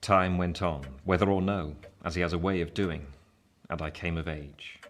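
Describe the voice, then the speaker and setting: An actor playing a grown gentleman looking back on his life, narrating a radio play in a measured, reflective way. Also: deep voice, fairly even intonation. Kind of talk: scripted first-person narration.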